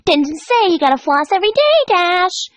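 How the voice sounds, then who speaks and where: A woman acts out a young female party pony from a dark storybook tale, bubbly and gleefully cruel. The voice is pitched well up and swoops in a perky singsong, joking even as it threatens. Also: normal speed, no room sound, exaggerated voice. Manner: singsong, jokey, perky while menacing. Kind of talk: storybook fan fiction character voice.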